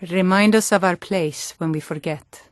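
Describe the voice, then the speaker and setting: A woman voicing a sturdy, plain-spoken Nord townswoman in a fantasy game, speaking scripted lines in a measured way. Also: lowish voice, no echo, studio quality, lightly acted. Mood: solemn, caring.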